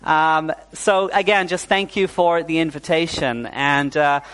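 A young male preacher from New Zealand giving a sermon to his congregation. His voice sits a little higher than most men's. He talks warmly, drops in "uh" often and asks the room for an "amen". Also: fairly even intonation, a little slow, clear, resonant tone, rough recording. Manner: warm, fillers like "uh", calls for "amen". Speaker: pastor.

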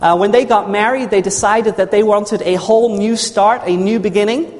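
A man's voice: high voice, steady tone, moderate pace. Earnest preacher man in a reverberant hall. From New Zealand. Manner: earnest storytelling preaching, repeats phrases, calls for amens. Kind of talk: sermon. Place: church hall. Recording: long reverb of a large hall.

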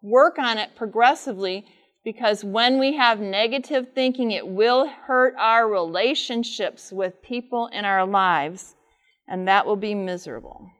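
A middle-aged woman with a loud voice speaks live to a conference audience, teaching and asking them frequent questions. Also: medium pitch, measured pace. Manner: says she has a loud voice. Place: large conference room with air conditioner.

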